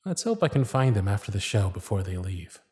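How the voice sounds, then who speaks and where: Smitten magician's inner voice, acted by a man: hushed, fond, confiding, intimate ASMR roleplay monologue. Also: lowish voice, sing-song, brisk, very noisy, pitched below the performer's own voice. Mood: smitten, musing. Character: smitten magician's private thoughts, shy and fond.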